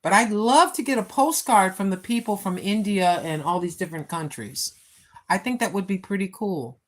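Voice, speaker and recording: A woman's voice: medium pitch, expressive, moderate pace. Chatty grandmother rambling on a live stream. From United States. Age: 60s. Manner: rambling, chatty, addresses viewers directly with many fillers. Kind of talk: casual live-stream chat. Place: home, seated. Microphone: phone.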